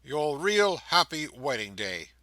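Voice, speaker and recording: A man voicing a doting elderly ticket-porter who bursts with fond, excited exclamations in a storybook reading. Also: a little high, slow, dry recording, studio quality, exaggerated voice.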